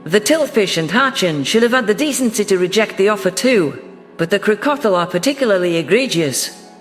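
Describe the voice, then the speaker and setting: A man reading scripted first-person science fiction narration in a measured, literary delivery. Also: sits high, animated, faint music behind. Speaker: audiobook narrator.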